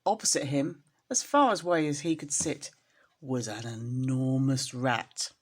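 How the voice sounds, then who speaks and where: A woman storyteller reading expressively to young children, in an engaging, animated manner. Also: a bass voice, a little slow. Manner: animated, addresses young listeners with questions.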